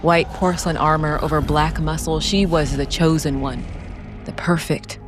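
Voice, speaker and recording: A woman narrating a scripted, dramatic solo role-playing story in a solemn, deliberate way with short phrases. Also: sits low, fairly even intonation. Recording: background music under the voice.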